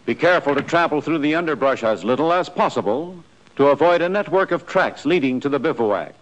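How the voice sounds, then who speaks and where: An American man narrating a 1940s military training film in a scripted, instructive style, with clear imperative delivery. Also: a little high, expressive, a little fast, lo-fi sound. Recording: noisy 1940s film soundtrack.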